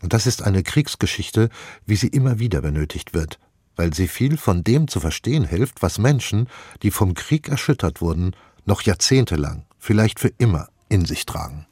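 A man reading a scripted literary review as a radio critic, with a husky voice. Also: fairly low pitch, sing-song, moderate pace, dark tone, dry recording, clean studio sound.

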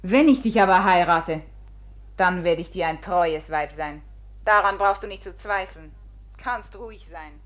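A woman's voice: fairly low pitch, a little slow, dull audio, close to an everyday voice. Proud, scornful woman played by an actress. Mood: resigned, solemn. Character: proud, scornful, fatalistic woman defying her suitor. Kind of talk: German radio play acting.

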